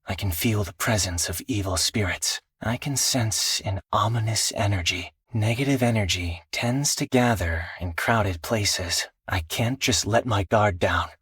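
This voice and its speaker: A man voicing an earnest, dutiful teenage exorcist swordsman in a video game, speaking solemnly and politely in measured phrases. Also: on the low side, fairly bright tone, breathy edge, dry recording, exaggerated voice. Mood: resolute. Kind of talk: scripted video game character voice lines.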